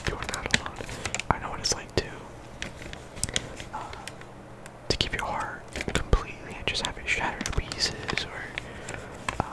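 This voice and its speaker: A soft-spoken ASMR creator, sick at the moment, whispering a casual, earnest ramble full of slang and fillers. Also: rough recording. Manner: earnest encouragement.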